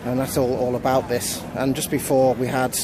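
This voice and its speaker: A man gives an unscripted on-location news report, speaking haltingly and repeating words as he goes. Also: mid-range voice, flat delivery. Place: outdoors in a busy city square. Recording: outdoor city crowd and traffic noise. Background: crowd and traffic.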